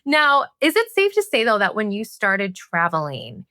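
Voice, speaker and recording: A woman's voice: medium pitch, wildly swooping pitch, moderate pace, pushed and bright, dry recording. Woman hosting, dry close sound. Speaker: podcast host, interviewer. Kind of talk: casual conversational interviewing.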